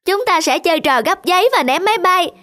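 A woman's voice: very high-pitched, lively intonation, fairly quick, sharp tone, full character voice. A woman voicing a friendly, eager older boy who encourages younger children in a cartoon. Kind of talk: cartoon acting.

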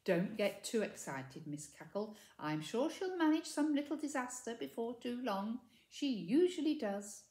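A woman's voice: a little high, big pitch swings, unhurried, few pauses, mellow, echoey room, voice pushed up. Woman putting on a stern, ferocious witch schoolmistress. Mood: scornful.

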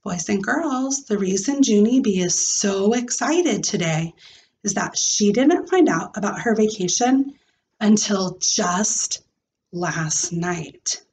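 A woman voicing a calm, kindly schoolteacher addressing a class in a storybook, measured and encouraging. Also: medium pitch, a little hiss or hum, low-quality recording. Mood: amused. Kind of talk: acted children's storybook reading.

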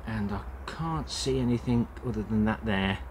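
A man's voice: on the low side, normal speed, a little mellow, slight background noise. A man talking casually through an allotment vlog outdoors, with plenty of "uh" fillers. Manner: plain-spoken, many "uh" fillers. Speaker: allotment gardening vlogger. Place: outdoor allotment, in and around a greenhouse.

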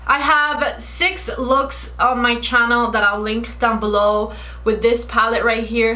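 A Cuban woman in her late twenties talks straight to the camera while doing her makeup, with a bright, fairly high voice. She is chatty and friendly and explains her story at length. Her English carries a Cuban Spanish accent. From Cuba. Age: 20s. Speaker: beauty vlogger. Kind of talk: chatty get-ready-with-me Q&A. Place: bright indoor room with shelves. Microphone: camera in front at desk distance.

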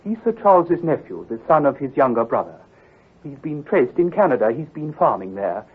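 Grave country doctor, hesitant and precise, very husky, lively pitch, old-time radio drama. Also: male voice, moderate pace, phone-quality sound. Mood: worried. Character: earnest, troubled country doctor, a man of science.

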